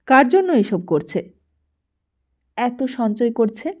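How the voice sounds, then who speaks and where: A woman's voice: fairly high pitch, sing-song, takes it slowly, a little mellow. A woman audiobook narrator reading literary fiction expressively, on slightly dull, lo-fi audio.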